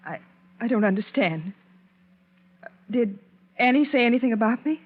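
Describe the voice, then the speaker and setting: A woman's voice: on the high side, lively intonation, moderate pace. An actress playing a hurt, timid young woman who speaks hesitantly and pleadingly in a 1940s radio drama. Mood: nervous. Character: hurt, timid young wife, a lady's companion. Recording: tinny, narrow vintage 1940s radio recording.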